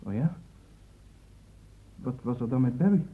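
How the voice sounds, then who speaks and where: An actor playing a defensive, evasive young man under suspicion in a Dutch radio drama, halting and touchy in his protests. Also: medium pitch, animated, mellow, breathy edge. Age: young adult. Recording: muffled phone-like old radio recording.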